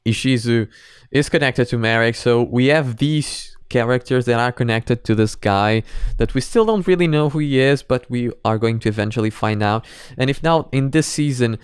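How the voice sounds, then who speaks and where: A man's voice: medium pitch, normal speed, clear, resonant tone, no echo, studio quality. A man giving casual, off-the-cuff reaction commentary, thinking aloud in a hesitant, rambling way. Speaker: host of anime reaction videos.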